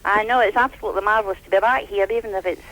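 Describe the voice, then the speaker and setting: A woman's voice: medium pitch, steady tone, very fast, fairly bright tone, rough recording. An older Scottish woman calling in, telling stories in a chatty, laughing way. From Scotland. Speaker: caller. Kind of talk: phone-in chat.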